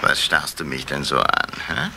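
An actor playing a creepy, boastful lamprey fish in a children's radio play, with a drawling, wheedling manner. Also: male voice, very deep voice, sing-song, creaky, background noise, heavily acted. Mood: haughty, boastful. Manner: drawling, wheedling, hesitant pauses.

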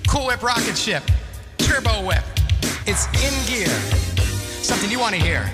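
A woman's voice: medium pitch, lively intonation, moderate pace. A middle-aged woman hosting a music radio show with upbeat, joking DJ patter and casual banter, close on a broadcast mic. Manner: playful, joking. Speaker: radio DJ and host. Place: small home radio studio. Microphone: close broadcast mic on boom arm, headset. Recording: music playing under the voice. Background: loud music.

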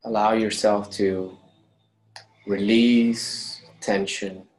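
A man leading a guided meditation, giving calm, unhurried instructions with long pauses between phrases. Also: lowish voice, poor audio. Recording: clear steady background noise.

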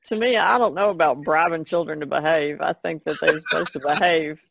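Older woman with a creaky voice. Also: low voice, brisk, dry recording. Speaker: podcast co-host. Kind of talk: casual chat.

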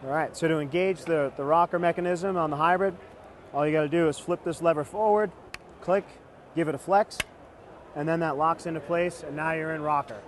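A man's voice: on the high side, fairly even intonation, brisk, a little hiss or hum. A man in his thirties presenting skis at a trade show booth, talking in a confident, fluent sales manner. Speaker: ski company executive presenting products. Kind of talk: product demo presentation.

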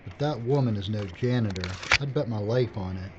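A man's voice: fairly low pitch, fairly quick, dark tone, close to an everyday voice. An actor playing a wry, unflappable cameraman in an audio drama. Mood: matter-of-fact. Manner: wry, laid-back. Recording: dull, noisy audio drama recording.

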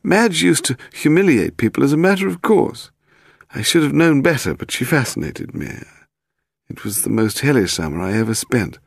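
A fussy, nervous gentleman, pitched far up into a high, plaintive register. He protests in prim, wounded tones, with lively swings of pitch. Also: normal speed, gravelly, husky, no echo, studio quality, heavily acted. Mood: aggrieved confession. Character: fussy, nervous, bald upper-class Englishman, indignant and wounded.

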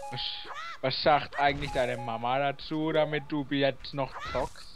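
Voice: medium pitch, fairly even intonation, a little slow. A young co-commentator bantering casually over a game, goofy and teasing, often sing-song. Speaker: guest co-commentator. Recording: game music behind the voice. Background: video game music.

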